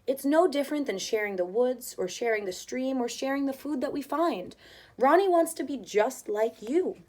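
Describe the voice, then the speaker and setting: A woman voicing a tender mother raccoon in a storybook, speaking in a gentle, motherly and reassuring way. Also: high-pitched, expressive, normal speed. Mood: soothing.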